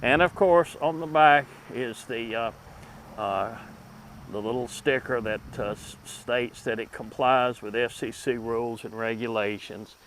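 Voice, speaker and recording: A man hosting a casual, unscripted review of collectibles, speaking haltingly as he thinks aloud with pauses. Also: medium pitch, a bit bright, a little hiss or hum. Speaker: host reviewing vintage radio collectibles. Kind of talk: casual unscripted product show-and-tell.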